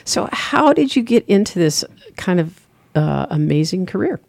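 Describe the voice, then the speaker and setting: A man hosting a morning radio interview in a studio, friendly and halting with uhs. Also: high voice, sing-song, vocal fry, a little mellow, dry recording. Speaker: radio host.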